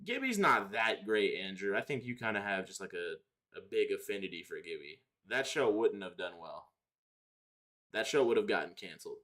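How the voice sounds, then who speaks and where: Low-voiced young man, casual and slangy. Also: big pitch swings, normal speed, fairly bright tone. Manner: slangy, profane, opinionated. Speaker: live streamer. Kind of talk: casual livestream chat, answering viewers.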